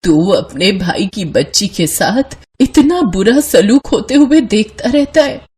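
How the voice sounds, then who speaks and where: A woman's voice: mid-range voice, expressive, slow, darkish tone, breathy edge. A woman voicing a kind, suffering old grandmother in a story, tender and pleading. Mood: bitter, grieving.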